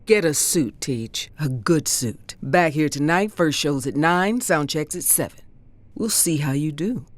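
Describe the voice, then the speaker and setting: A woman playing a cool, demanding jazz bandleader in a children's storybook, terse and deadpan. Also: sits low, a little slow, no room sound. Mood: coolly commanding.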